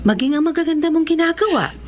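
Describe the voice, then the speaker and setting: A woman's voice: high voice, animated, quick pace, music in the background. A woman playing a kind, encouraging teacher in a Filipino radio drama, earnest and full of advice. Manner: nurturing, earnest, advising. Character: kind, encouraging female special-education teacher.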